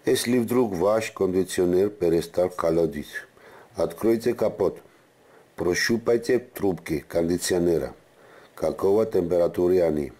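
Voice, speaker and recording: A man's voice: deep voice, mellow. A man giving an instructional voice-over as the presenter of a car repair tutorial.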